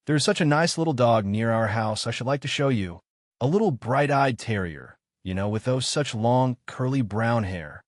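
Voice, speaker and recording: A man's voice: lowish voice, no echo, clean studio sound, close to an everyday voice. A man voicing a polite, eager little girl in a storybook, chatty, earnest and apologetic in manner.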